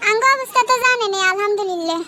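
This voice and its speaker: Cartoon casting: demanding young Bangladeshi wife, Noakhali accent, extremely high and very fast, nagging, faint noise behind. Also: female voice, bright, forceful tone. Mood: eager. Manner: nagging, insistent. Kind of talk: comedy cartoon dialogue.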